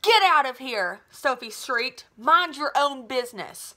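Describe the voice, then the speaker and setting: A woman reader puts on an angry teenage girl from a storybook. The voice is high and sharp. She snaps with scorn and brushes people off. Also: animated, unhurried, full character voice. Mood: furious. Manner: shrieking, snapping, dismissive.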